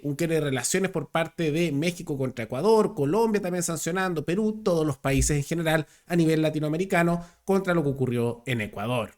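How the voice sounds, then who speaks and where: Emphatic man giving political commentary. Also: a little high. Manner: emphatic, ironic asides. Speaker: political commentator, channel host.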